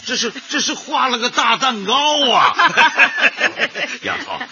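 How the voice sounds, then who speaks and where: A man's voice: very high-pitched, expressive, unhurried, pushed and bright, slight background noise, heavily acted. An actor playing a jovial, teasing elderly great-grandfather and husband in a radio drama, exclaiming and repeating words. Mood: delighted. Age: older. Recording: dull lo-fi broadcast.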